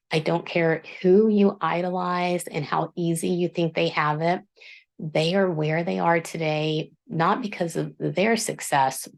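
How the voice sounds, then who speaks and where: A woman author hosting her book channel, speaking in a low voice in an earnest, self-deprecating, measured monologue. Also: vocal fry. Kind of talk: reflective scripted monologue.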